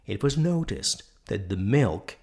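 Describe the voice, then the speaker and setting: A man reading a scripted storybook narration in a measured, deliberate manner. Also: lowish voice, very animated, darkish tone.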